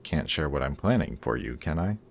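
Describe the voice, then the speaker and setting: A man's voice: very deep voice, lively intonation, fairly quick, vocal fry, a little hiss or hum, heavily acted. A man playing a guarded supervillain in a roleplay audio drama, sardonic and awkward whenever he shows that he cares. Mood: guarded, boastful. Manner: sardonic, hesitant when showing care. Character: gruff, guarded male supervillain, secretly caring, sardonic.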